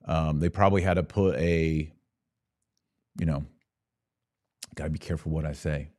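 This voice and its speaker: A man with a very low, warm voice talks through comments on a livestream in a casual, conversational way. He drops in wry asides. The sound is dry and close. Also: steady tone, normal speed, dry recording. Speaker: podcast host.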